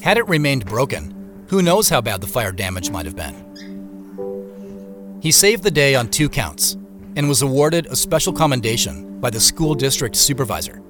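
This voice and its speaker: A man's voice: mid-range voice, close to an everyday voice. An actor playing an introspective male scientist in a first-person audio drama, moving between narration and dialogue.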